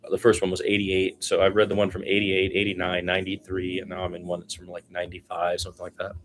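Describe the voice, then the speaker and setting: A man's voice: low voice, completely flat delivery, fast talker, poor audio. A man doing informal solo basketball play-by-play commentary, conversational and given to chatty asides.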